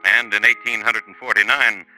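An actor narrating a 1950s radio crime drama as a wry, urbane storyteller, dry and measured. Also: male voice, lowish voice, fairly even intonation, lightly acted, poor audio. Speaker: host and narrator. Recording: old 1950s radio recording, music behind.

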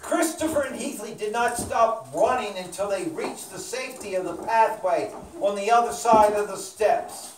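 A narrator presenting a children's puppet show in deliberate, declamatory scripted storybook narration. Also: mid-range voice. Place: small indoor meeting room with audience of children. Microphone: distant camera microphone. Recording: echoey room, distant, background hiss.

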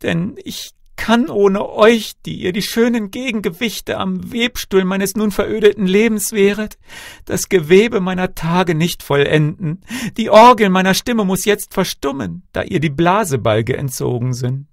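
A man's voice: high-pitched, unhurried, vocal fry, no echo, studio quality, voice pushed up. A man wailing as a grief-maddened storybook queen. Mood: grieving lament. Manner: wailing, declamatory lament. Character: grief-maddened young queen mourning her children.

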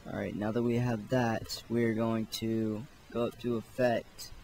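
A man gives a casual, offhand screen tutorial at a slow, unhurried pace. His voice sits a little low with a lot of fry in it, and there is faint noise behind him. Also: little pitch movement, darkish tone. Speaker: tutorial host. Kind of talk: casual software tutorial narration.

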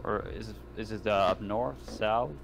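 Low-voiced man chatting over faint noise. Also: steady tone, normal speed, creaky. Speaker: roleplaying game player. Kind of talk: casual game chat. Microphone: online voice call.

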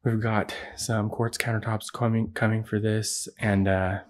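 A man's voice: lowish voice, level tone, measured pace. An American man giving a casual, matter-of-fact walkthrough of a building job, explaining as he goes. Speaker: builder presenting a project. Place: indoors, large unfinished basement.

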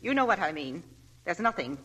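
A stern older mother with a lively, rising and falling voice that stays bright and clipped, giving curt orders to her household. Classic 1940s radio play. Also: fairly low pitch, a little fast, husky, heavily acted, low-quality recording. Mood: commanding. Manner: curt, commanding. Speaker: radio drama actor.

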